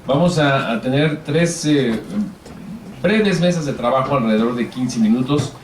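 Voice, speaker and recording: A man's voice: medium pitch, animated, measured pace, slight background noise. A man moderating a public panel, politely explaining the format and calling up speakers, with frequent hesitation fillers. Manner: organized, polite, filler-heavy. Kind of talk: event moderation. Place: event hall. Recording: echoey hall, distant mic.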